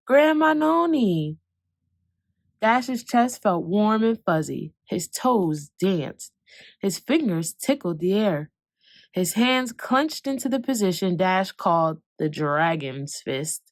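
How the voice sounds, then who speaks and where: A woman reading a children's picture book aloud, expressive, slowing down for calm moments and speeding up for excitement. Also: mid-range voice, no room sound. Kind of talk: read-aloud storytelling.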